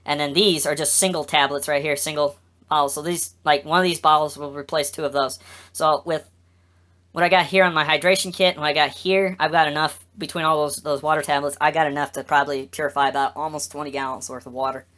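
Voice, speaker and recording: A woman with a low-pitched voice talks through a collection of gear. She is casual and unscripted, explaining each item in a plain, matter-of-fact way. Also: fairly even intonation, fast talker, fairly bright tone. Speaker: vlogger. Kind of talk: casual off-the-cuff gear walkthrough.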